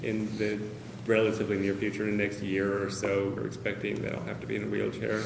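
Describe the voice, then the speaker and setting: A man speaking candidly to a meeting of caregivers, hesitant, with many pauses and fillers. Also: fairly low pitch, completely flat delivery, creaky. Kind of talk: personal talk to a small group. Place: meeting room with a group of about 45 people. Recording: roomy, noisy meeting-room recording.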